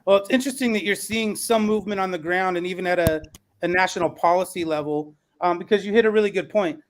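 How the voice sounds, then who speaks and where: A man's voice: high-pitched, fast talker, noisy background. A man hosting and moderating an online panel discussion, speaking in an articulate, rhetorical way. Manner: articulate, rhetorical, emphatic listing. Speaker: podcast host and moderator. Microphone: online call.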